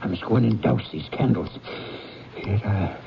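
An actor playing a fearful, penny-pinching old miser turning remorseful, in theatrical, formal 1970s radio drama style. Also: male voice, lowish voice, moderate pace, creaky. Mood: alarmed, grudging. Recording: dull, noisy 1970s radio recording.

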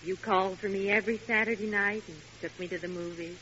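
A woman's voice: mid-range voice, steady tone, quick pace, lightly acted. An actress playing a teasing, exasperated housewife and sensible mother in a 1940s radio sitcom, with a dry, chiding manner. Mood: fond reasoning. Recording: hissy, muffled vintage radio broadcast.

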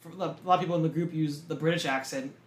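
A man's voice: fairly high pitch, fairly even intonation. A young man co-hosting a casual podcast chat, full of fillers like "like" and "you know". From Massachusetts. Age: young adult. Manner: fast, filler-heavy, full of likes and you knows. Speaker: podcast co-host. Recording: roomy echo.

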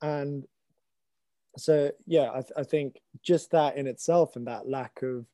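A man's voice: medium pitch, measured pace, dark tone, no echo. A young man from Hong Kong hosting a podcast, earnest and loosely phrased. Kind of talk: casual discussion.

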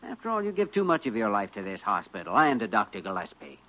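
An actor playing a patient, good-natured young doctor with a reasonable, soothing manner in a 1940s radio drama. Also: male voice, on the low side, wildly swooping pitch, quick pace. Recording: noisy, dull old radio recording.

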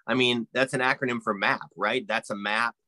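A man's voice: medium pitch, fairly even intonation, moderate pace, pushed and bright, dry recording. A man co-hosting a casual podcast chat, leaning on fillers and tagging "right?" onto his points. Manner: fillers, keeps asking "right?".

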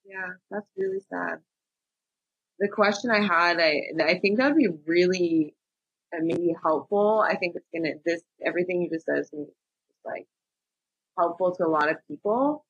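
A woman's voice: fairly low pitch, moderate pace, husky, no room sound, lo-fi sound. A woman hosting an interview, asking hesitant, rambling questions. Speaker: host.

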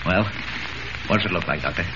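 An actor playing a gruff, sputtering middle-aged newspaper editor in a 1940s radio serial, demanding and repeating his words. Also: low voice, moderate pace, close to an everyday voice. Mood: alarmed. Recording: noisy, muffled vintage radio recording.

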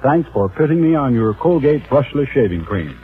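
A man's voice: medium pitch, very animated, moderate pace. An American man reading a folksy scripted testimonial in the style of a 1940s radio advertisement. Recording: muffled vintage radio recording.

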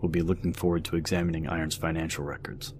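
A man narrating an audio story, reading out newspaper reports in a measured, scripted news style. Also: very deep voice, level tone. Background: music.

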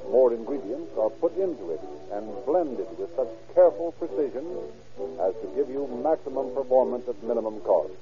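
A man working as an announcer, reading scripted copy formally and emphatically in 1930s radio style. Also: mid-range voice. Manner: formal, emphatic salesmanship and narration. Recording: tinny old 1930s radio recording.

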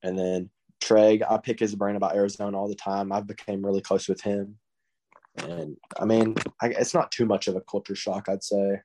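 A teenage boy with a Southern accent answers podcast questions in a low, level voice. He stays laid-back, leans on "I mean" and rarely lifts his tone. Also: level tone, normal speed, warm tone. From Texas. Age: teens. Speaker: guest, high school baseball recruit. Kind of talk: casual interview answers.

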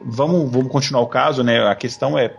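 A man co-hosting a podcast, walking through a case in a relaxed, informal way. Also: medium pitch, quick pace, creaky, slightly rough, faint music behind. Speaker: podcast co-host. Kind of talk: casual chat, recounting a case.

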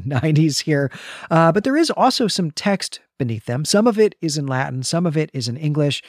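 A man's voice: on the high side, dry recording. Male podcast host, middle-aged. Casual, conversational, thinks aloud with asides, dry close recording. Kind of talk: conversational comics discussion.

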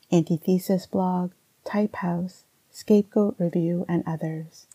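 A woman reads poetry and introduces it in a low, husky voice. There is a gravelly fry in it and she speaks in a measured, deliberate way. She comes from Barbados. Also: warm tone, no room sound, poor audio. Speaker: poet and writing teacher. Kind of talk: poetry reading with spoken introduction.

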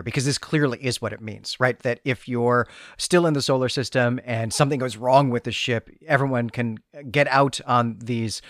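A man's voice: mid-range voice, a bit bright, no echo. Man discussing fiction, close dry sound. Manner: analytical, thinking aloud with repeated hedging asides. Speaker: podcast host. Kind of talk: conversational literary discussion and story recap.

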